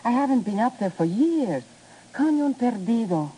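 An actress playing a refined, polite rancher's daughter in a 1950s radio drama, gracious and formal. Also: female voice, on the high side, big pitch swings, moderate pace, warm tone, slightly rough. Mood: gracious, eager. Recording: muffled, noisy vintage radio recording.

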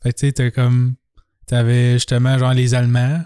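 A man's voice: medium pitch, completely flat delivery, normal speed, mellow, smooth, dry recording, studio quality. A man with a Quebec French accent chatting casually as a podcast co-host, informal and slangy. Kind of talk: casual chat, reacting to a story.

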